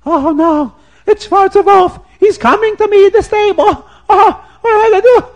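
A man voicing a frightened, gentle old rabbi who speaks fearfully. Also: a little high, level tone, very fast, lightly acted. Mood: terrified. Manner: fearful, trembling.

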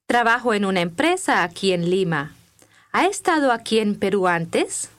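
A woman reading scripted language-course dialogue with clear, deliberate enunciation. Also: mid-range voice, sing-song.

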